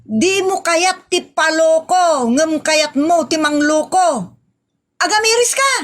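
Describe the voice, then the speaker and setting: Woman acting high-pitched fiery Ilocano scolder. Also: expressive, slow, heavily acted. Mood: angry, threatening. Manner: threatening, reproachful. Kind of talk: comedy radio drama acting.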